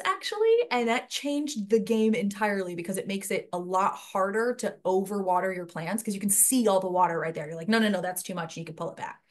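Chatty woman hosting a webinar panel. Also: mid-range voice. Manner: chatty, rambling storyteller. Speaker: host. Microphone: headset.

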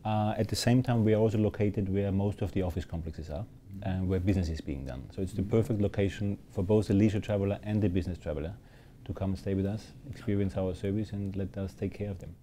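A man's voice: low voice, fast, dark tone, a little hiss or hum. A man from Austria in his fifties, a hotel general manager giving upbeat, fluent interview answers in a hotel lounge.

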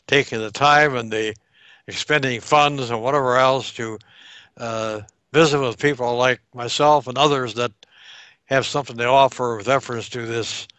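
A man in his nineties recalls old events at an unhurried pace, stopping now and then to pin down dates. His voice is bright and crisp, picked up dry and close by a headset on a video call. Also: mid-range voice, no echo. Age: 90s. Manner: slow, reflective recollection with pauses to recall dates. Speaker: World War Two veteran interviewee. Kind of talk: oral history interview over video call. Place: home room by a staircase.

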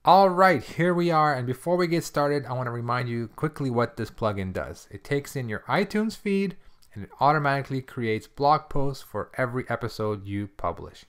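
A man with a mid-pitched voice presents a software walkthrough. He talks informally, like a screencast tutor, and explains each step in turn as he goes. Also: expressive, moderate pace, clean tone. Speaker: software demonstrator.

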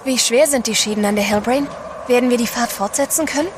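An actress playing a refined, worried adventurer's wife in a German audio drama, earnest and concerned. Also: female voice, fairly high pitch, quick pace, noisy background, lightly acted.

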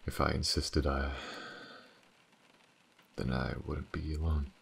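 A man playing a world-weary but tender detective in an intimate audio roleplay, with halting, shy, stammering speech. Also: little pitch movement, slight background noise, full character voice. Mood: somber, halting. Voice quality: very deep, gravelly, husky. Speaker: voice actor. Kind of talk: audio roleplay, intimate acting addressed to the listener.

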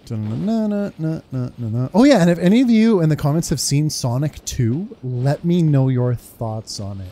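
Mellow-voiced man doing casual game commentary. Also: on the high side, lively intonation, moderate pace, few pauses. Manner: informal, slangy, searching for words. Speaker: gaming streamer.

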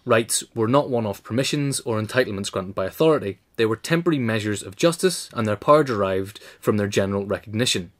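A man's voice: lowish voice, lively intonation, fast, hardly any pauses. A man reading a scripted political essay as a narrator.

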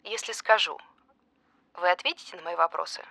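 A woman's voice: lowish voice, brisk, creaky, bright, forceful tone, dry recording, exaggerated voice. An actress playing a pushy, desperate female journalist in an audio drama, politely insistent in her questioning. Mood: frank, pleading. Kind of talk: naturalistic audio drama acting.

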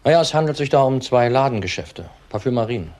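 An actor playing an agitated, evasive husband in his thirties in a crime drama, speaking haltingly and repeating words. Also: medium pitch, expressive, darkish tone, lightly acted. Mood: evasive, subdued. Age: 30s. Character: young widower husband, agitated, evasive under questioning. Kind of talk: German crime drama acting.

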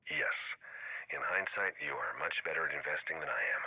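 An actor playing a scheming, composed rogue agent with dry wit in a video game. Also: male voice, fairly quick, bright, forceful tone, no room sound, heavily acted, low-quality recording. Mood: dry, wry. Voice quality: gravelly, very deep, husky. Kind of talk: video game dialogue over recorded comms.

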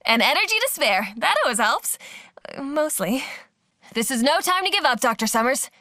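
Casting: game heroine, young and peppy, high pitch, bouncy intonation, encouraging and caring. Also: female voice, big pitch swings, normal speed, bright, forceful tone, no echo, clean studio sound, heavily acted. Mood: chirpy. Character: perky, caring, energetic young woman fighter. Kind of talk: video game character voice lines.

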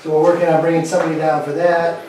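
A man's voice: on the high side, flat delivery, racing, a little mellow, very echoey, slight background noise. A man standing in a small meeting room, reading a report to a town board with fillers and restarts, recorded by a far camera. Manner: reads updates from paper, with fillers. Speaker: state representative briefing a town board.

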